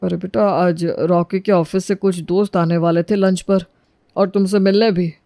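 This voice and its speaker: A woman voicing a meek, pleading elderly father-in-law in a moral story, gentle and placating. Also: fairly low pitch, fairly even intonation, normal speed, no room sound, clean studio sound, close to an everyday voice. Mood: gentle, resigned.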